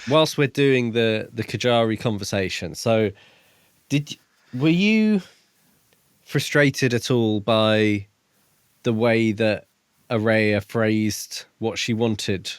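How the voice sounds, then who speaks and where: A man with a slightly low voice co-hosts a casual book discussion. He reasons out loud in a reflective, conversational flow, recorded dry with very little room sound. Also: takes it slowly, clean tone, dry recording. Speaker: podcast co-host.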